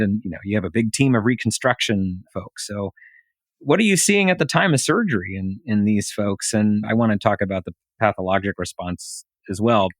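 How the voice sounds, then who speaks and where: A man's voice: lowish voice, no echo. A middle-aged American man hosting a medical interview conversationally, with rambling, layered questions. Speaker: podcast interviewer, physician.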